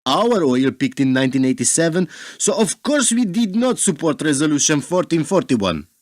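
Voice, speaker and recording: A man's voice: fairly high pitch, big pitch swings, normal speed, darkish tone, no room sound, clean studio sound. A man in a radio play acting a proud, defiant Russian ambassador, speaking in a grave, formal, emphatic way.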